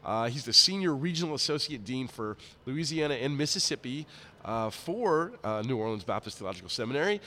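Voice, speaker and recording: A man with a raspy, nasally congested voice, chatting casually as a podcast host. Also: medium pitch, lively intonation, a little fast, pushed and bright, slight background noise. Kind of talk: casual interview chat. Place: conference center during a busy event. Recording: live at a busy event venue.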